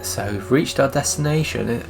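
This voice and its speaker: A man's voice: mid-range voice, moderate pace, gravelly, music in the background. A man giving casual gameplay commentary with wry, grumbling asides. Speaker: gaming commentator.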